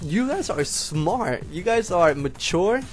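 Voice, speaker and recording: A man's voice: sits high, lively intonation, slow, over music. Young puerto rican guy w a slight lisp, spanish first language, rambly vlog chat to his homies. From Puerto Rico. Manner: rambling, talks straight to viewers as homies. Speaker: YouTuber. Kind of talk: casual vlog monologue.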